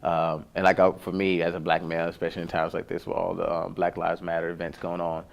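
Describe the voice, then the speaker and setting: A man's voice: sits low, completely flat delivery, fast talker, vocal fry, highs cut off. A man, an actor being interviewed, talking casually and hesitantly with lots of "like". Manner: hesitant, heavy use of "like".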